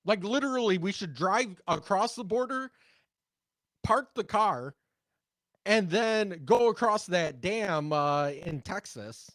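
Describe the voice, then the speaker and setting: A man with a fairly high voice co-hosts a casual talk show. He speaks in loose, conversational explanations and stories, recorded dry with little room sound. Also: animated, slow, fairly bright tone, no echo. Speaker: talk radio co-host, former TV news worker. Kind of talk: casual chat and storytelling.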